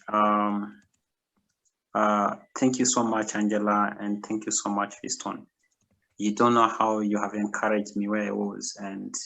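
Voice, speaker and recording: A man from Kenya telling his own story as an invited speaker on a video call, halting now and then with fillers. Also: lowish voice, vocal fry, fairly bright tone, poor audio.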